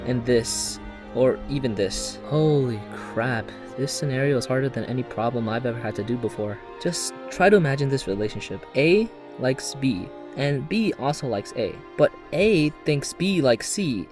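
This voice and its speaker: Man, mid-pitched voice, casual video-essay narration, joking exasperated manner, background music. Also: expressive, normal speed. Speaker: anime reviewer and video narrator. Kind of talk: casual scripted video essay with comic asides.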